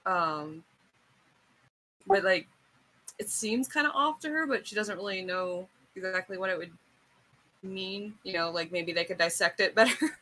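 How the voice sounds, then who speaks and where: A woman's voice: medium pitch, a little slow, pauses often, bright, forceful tone, rough recording. A woman playing a tabletop roleplaying game, chatting informally and thinking aloud with questions. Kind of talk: casual chat.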